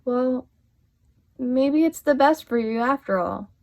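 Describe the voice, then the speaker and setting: Woman playing a sardonic former star actress, catty, high voice, radio drama. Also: slow pace, creaky, a little mellow, exaggerated voice. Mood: sardonic, confessional. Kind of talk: radio play acting.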